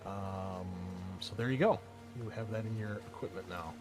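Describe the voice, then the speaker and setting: A man running a tabletop roleplaying game, speaking informally in halting, hesitant phrases as he sets scenes and guides players. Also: lowish voice, darkish tone, faint music behind. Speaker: game master.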